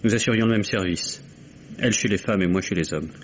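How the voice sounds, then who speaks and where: An actor playing a defensive, emotional male nurse who answers haltingly and turns touchy, in an old radio mystery. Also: on the low side, natural voice. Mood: halting, guarded. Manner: halting, emotional, flaring into indignation. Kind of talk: 1950s French radio mystery acting. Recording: dull, noisy vintage radio recording.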